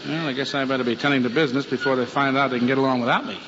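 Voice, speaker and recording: A man's voice: mid-range voice, steady tone, racing, natural voice. An American actor playing a genial, affectionate policeman father, chummy and folksy, in a 1940s radio drama. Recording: dull, noisy old radio recording.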